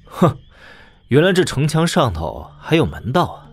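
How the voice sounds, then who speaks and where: A man's voice: fairly high pitch, wildly swooping pitch, normal speed, soft background music. An actor playing a shrewd, wry young nobleman swordsman in a wuxia audio drama, musing with playful interjections. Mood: curious, amused.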